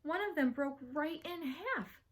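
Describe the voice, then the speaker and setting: A defensive, easily upset young girl voiced by a woman in a children's storybook. Her voice is high, plaintive and very changeable in pitch. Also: expressive, a little slow. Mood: doubtful. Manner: plaintive, protesting. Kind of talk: children's audiobook character acting.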